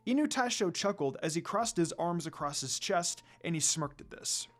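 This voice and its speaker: A man narrates a fan fiction story from a script. His voice sits a little high for a man, and music plays behind him. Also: animated, normal speed.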